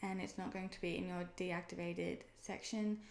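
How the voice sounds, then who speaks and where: A woman's voice: on the low side, little pitch movement, moderate pace, gravelly. A young Australian woman explaining things in a friendly, chatty vlog, often saying "um" and "personally". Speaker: online seller and video creator. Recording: echoey room with faint noise.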